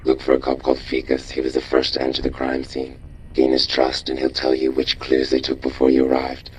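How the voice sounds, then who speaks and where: A gruff robot chief in a game, with an extremely low voice. He speaks in a measured, near-monotone, commanding way, over faint ambient noise. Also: poor audio. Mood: measured, instructing. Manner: measured, terse, authoritative. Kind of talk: scripted game dialogue.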